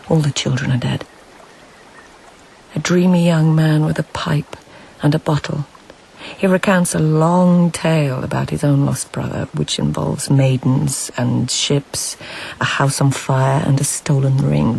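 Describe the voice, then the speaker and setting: An observant court lady in a radio drama. Her voice has a marked creak and she phrases things in measured, literary fragments. Faint ambience lies behind her. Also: deep voice, fairly even intonation, darkish tone, a little hiss or hum, rough recording. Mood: reflective, measured. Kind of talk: radio drama narration and dialogue.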